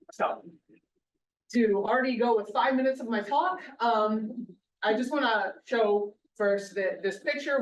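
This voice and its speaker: A woman's voice: medium pitch, slight background noise. A woman speaker addresses a live conference audience in a big, projecting voice. Her delivery is chatty and conversational, and she stops to quiz the listeners. The sound is roomy and distant, caught by a mic set well back from her. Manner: loud, projecting voice. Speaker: guest speaker, soil scientist. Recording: roomy hall, mic far off.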